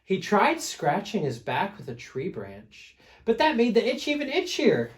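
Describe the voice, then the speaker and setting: Homme conteur, lent et très expressif. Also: male voice, high voice, wildly swooping pitch. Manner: dramatic emphasis, pauses to prompt listeners. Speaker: read-aloud storyteller. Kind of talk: expressive picture-book reading for children.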